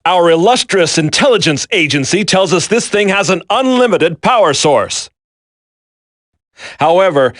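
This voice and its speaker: A stern squad leader in a video game. He briefs with clipped, emphatic authority in a slightly high voice, recorded dry and close. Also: fairly bright tone, dry recording. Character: stern, no-nonsense male special forces team leader.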